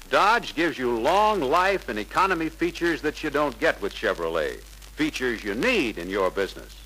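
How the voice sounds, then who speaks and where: Eager young salesman, bright and animated. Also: mid-range voice. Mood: earnest. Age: young adult. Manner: deferential, persuasive, earnest. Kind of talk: 1950s sales pitch acting. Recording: noisy 1950s film soundtrack.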